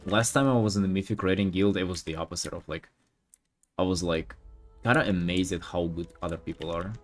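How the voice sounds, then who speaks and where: A man who is a native Russian speaker, chatting casually as an art streamer in a halting, rambling, slangy way with some swearing. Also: deep voice, steady tone, soft background music. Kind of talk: casual livestream chat while painting.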